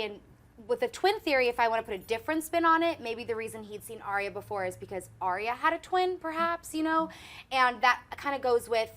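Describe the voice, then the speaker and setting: A woman's voice: fairly high pitch, sharp tone. A young woman in her twenties hosting a casual fan chat show, chatty and giggly, with lots of "um" and "like". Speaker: host.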